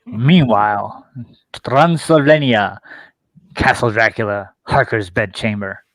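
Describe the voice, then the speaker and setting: A man's voice: lowish voice, slow pace, fairly bright tone, no room sound, poor audio. A man reading scripted stage directions as the narrator of a play.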